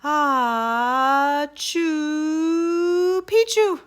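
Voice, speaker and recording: Storybook Chihuahua hero, male, small and excitable, played by a woman. Dramatic exclamations, lifted pitch. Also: drawn-out words. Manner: exclamatory, dramatic, Spanish-sprinkled speech. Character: excitable heroic little Chihuahua sword fighter, male.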